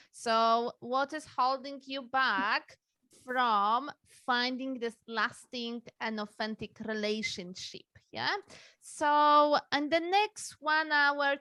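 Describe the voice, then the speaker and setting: Casting: woman, webinar host, high bright voice, unhurried, friendly, lots of fillers. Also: no echo. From Poland. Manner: slow, friendly, with frequent fillers and soft laughs. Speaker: dating coach hosting a webinar. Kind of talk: live webinar presentation. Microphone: computer mic on a video call.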